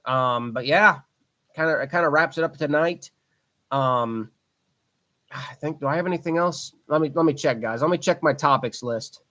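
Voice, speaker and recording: A man in his twenties hosts a casual podcast by himself. His voice sits a little on the high side. He rambles with plenty of ums and restarts, and he talks with a fan's eager enthusiasm. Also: normal speed, bright, forceful tone. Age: 20s. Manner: rambling, frequent fillers and restarts; enthusiastic fan talk. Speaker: podcast host. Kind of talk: casual solo podcast chat.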